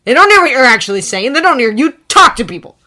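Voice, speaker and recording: A woman voicing a furious teenage boy with an explosive temper, shouting and swearing in a fan fiction reading. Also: mid-range voice, expressive, fairly quick, pitched above the performer's own voice.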